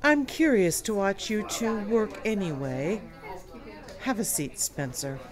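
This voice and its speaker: Woman voicing a friendly teacher, animated voice, unhurried, curious. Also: medium pitch, crowd noise. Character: cheerful young female kindergarten teacher.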